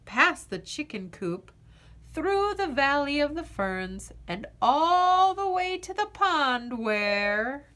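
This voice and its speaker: A woman reading a children's picture book aloud as an expressive narrator, with deliberate phrasing and dramatic pauses. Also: high-pitched. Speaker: children's storybook reader.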